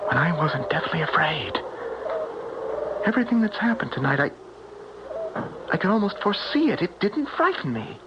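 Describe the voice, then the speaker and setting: An actor playing an earnest believer in ghosts in an old-time radio drama, with an intense, halting, suspenseful delivery. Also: male voice, on the high side, vocal fry. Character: eerie, earnest male believer in haunted places. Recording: dull, noisy 1970s radio broadcast.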